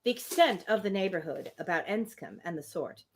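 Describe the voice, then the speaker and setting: Expressive woman reading a novel aloud. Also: mid-range voice, a little fast. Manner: expressive, informal, breaks off to react. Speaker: reader hosting a livestream reading. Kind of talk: live novel read-aloud with casual asides.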